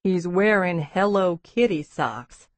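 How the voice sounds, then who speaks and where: Woman voicing a low, wry Texan businessman. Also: measured pace, no echo, lightly acted. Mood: wry, brisk. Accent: Texas. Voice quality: deep baritone drawl. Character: brisk masculine Texan businessman, deep-voiced, easygoing, wryly amused. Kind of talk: audiobook character dialogue.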